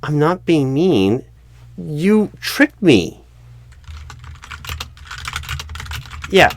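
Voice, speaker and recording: A man playing a flustered, earnest recruiting manager in a one-sided job interview roleplay, speaking haltingly and often correcting himself. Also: on the high side, big pitch swings, background noise, pitched above the performer's own voice, full character voice. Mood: disappointed, embarrassed. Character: flustered, unobservant male corporate recruiting manager, earnest, exasperated. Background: computer keyboard typing.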